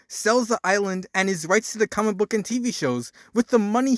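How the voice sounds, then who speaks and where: High-pitched man dramatically reading a story. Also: a bit bright. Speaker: narrator doing a dramatic reading. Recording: music behind the voice. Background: music.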